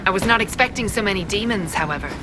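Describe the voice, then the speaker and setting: A self-possessed elven woman, played by an actress in a fantasy video game. She speaks formally and with measured care at a middle pitch, over clear background noise. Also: lively intonation, close to an everyday voice. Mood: grave. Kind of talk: video game character dialogue.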